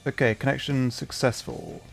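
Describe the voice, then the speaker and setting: A man's voice: medium pitch, flat delivery, moderate pace, vocal fry, faint music behind. A man chatting casually to viewers on a livestream, talking in a hesitant, flustered way and often interrupting himself. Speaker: livestreamer, VTuber.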